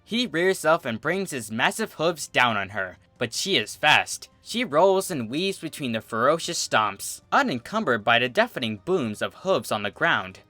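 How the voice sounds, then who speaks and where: Male story narrator, dramatic delivery, bright forceful voice, very lively pitch, scripted reading, music underneath. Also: moderate pace, few pauses, dry recording. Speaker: fan fiction reader, narrator.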